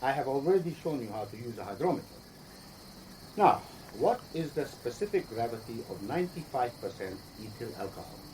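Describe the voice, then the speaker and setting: Actor as pedantic chemistry teacher, swooping intonation. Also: male voice, mid-range voice, sing-song, fairly quick, noisy background, natural voice. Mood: didactic. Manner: precise, formal lecturing. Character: pedantic Palestinian chemist secretly teaching bomb-making, proud. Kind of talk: radio drama acting.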